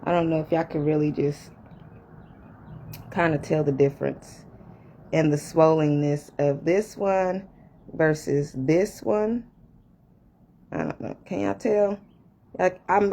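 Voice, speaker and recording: Woman, casual vlog chat to viewers, slightly low voice, at home upstairs. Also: darkish tone, slight background noise. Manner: chatty, addresses viewers directly and often. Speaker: vlogger. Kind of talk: casual talk to camera. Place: upstairs room at home with a fan running.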